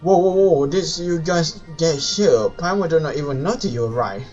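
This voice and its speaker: A man with non-native English voicing a small, excitable, cheeky fairy-like companion girl from a game. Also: on the high side, normal speed, close to an everyday voice. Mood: startled. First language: not English. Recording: dull lo-fi mic with music behind.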